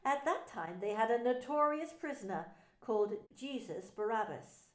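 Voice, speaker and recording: A woman's voice: on the high side, animated, normal speed. A woman minister narrating a dramatised scripture story, telling it expressively. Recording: roomy echo.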